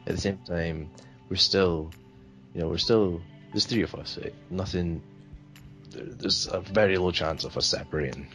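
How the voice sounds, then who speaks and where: A man's voice: low-pitched, normal speed, fairly bright tone, faint music behind, low-quality recording. A man from Sweden answering interview questions in a hesitant, rambling way, full of fillers. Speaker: interview guest.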